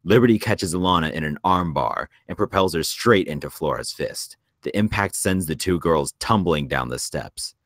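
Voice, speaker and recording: A man reading screenplay stage directions as narrator, in a measured, descriptive way. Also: deep voice, expressive, dry recording, clean studio sound.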